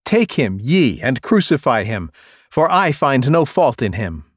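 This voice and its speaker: A man's voice: mid-range voice, sing-song, unhurried, slightly rough, no room sound, studio quality, voice pushed up. A man voicing the Roman governor Pilate in a Bible reading, speaking in a strained, raised, declaiming way. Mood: strained, imperious.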